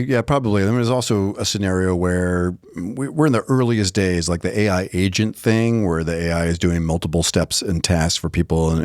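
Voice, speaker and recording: A man's voice: low-pitched, a little mellow, no echo, clean studio sound. A man co-hosting a podcast in a conversational style, thinking aloud informally and posing questions as he goes. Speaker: podcast co-host.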